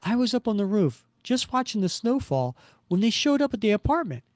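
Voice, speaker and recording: A man doing a high, frightened young woman. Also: very animated, fairly quick, no echo, studio quality. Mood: pleading. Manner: pleading, urgent.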